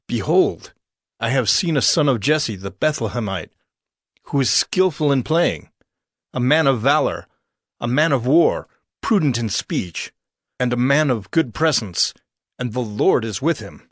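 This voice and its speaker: A man's voice: mid-range voice, expressive, measured pace, dry recording, clean studio sound. An actor playing an assured, admiring young male attendant at a royal court in a Bible drama. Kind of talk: dramatized Bible story acting.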